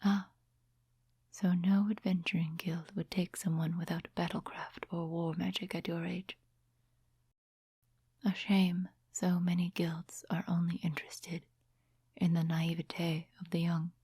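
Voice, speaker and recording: A woman acts out a composed, mysterious witch in an ASMR fantasy roleplay. Her voice is low and breathy, held very even in pitch. She speaks softly and without hurry, in courteous, old-fashioned storybook phrasing. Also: no room sound, studio quality, full character voice. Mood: wistful, reflective. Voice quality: breathy, whispery soft voice. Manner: unhurried, gentle, formal storybook phrasing. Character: soft-spoken female witch, composed, courteous, quietly mysterious night owl. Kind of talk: soft-spoken ASMR roleplay.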